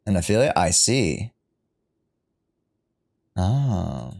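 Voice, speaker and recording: A man's voice: low voice, big pitch swings, extremely slow, vocal fry, a little mellow. A man doing casual live-stream commentary on a game, rambling and reading the dialogue aloud as he goes. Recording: game music behind the voice. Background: game music and dialogue.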